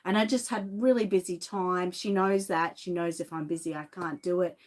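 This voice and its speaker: A woman's voice: fairly low pitch, fairly even intonation, moderate pace. An Australian woman with a lingering cough chatting casually on a livestream, greeting viewers by name from her home studio. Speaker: reborn doll artist and shop owner.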